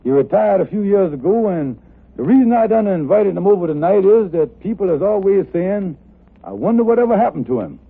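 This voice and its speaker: A man playing a folksy, genial radio-show host in broad comic dialect, with a raspy voice, in 1950s radio comedy. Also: sits high, expressive, fairly quick, exaggerated voice. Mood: boastful. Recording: tinny old radio recording.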